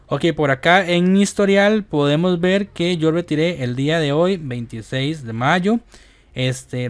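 A man hosting a casual YouTube app tutorial, explaining things step by step in a halting way. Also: mid-range voice. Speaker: YouTube host. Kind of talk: casual app tutorial narration over screen recording.

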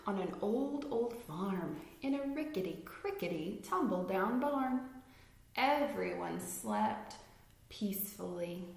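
A woman in her thirties reading a children's picture book aloud expressively, deliberately stressing rhymes and putting questions to young listeners. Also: mid-range voice. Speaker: read-aloud storyteller. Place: indoor room at home with fireplace mantel. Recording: echoey room.

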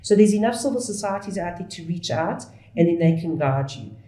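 A middle-aged woman with a South African accent answers questions at a studio desk mic. Her voice is low and soft-edged, and she talks in earnest, halting bursts. The room adds a noticeable echo. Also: expressive. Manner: earnest, restarts often, speeds up and slows down. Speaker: guest, whistleblower. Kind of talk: candid conversational interview.

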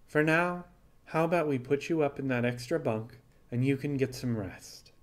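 A man reads as a kindly animal captain of a space pirate crew in an audiobook. The delivery is measured and frank, persuasive yet reassuring, at a medium pitch. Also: natural voice. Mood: understanding, reassuring.

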